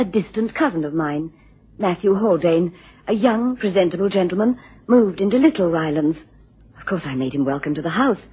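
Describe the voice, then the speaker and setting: Breathy, husky, frightened genteel wife. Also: female voice, mid-range voice. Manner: hesitant, pleading, genteel. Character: distressed, frightened, genteel wife seeking help. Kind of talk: radio drama acting. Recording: muffled lo-fi radio recording.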